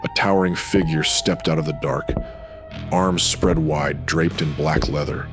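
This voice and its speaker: Male narrator, extremely low bass, brooding pulp noir delivery in clipped phrases, prominent music underneath. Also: steady tone, a little slow. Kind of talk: dramatic scripted noir narration.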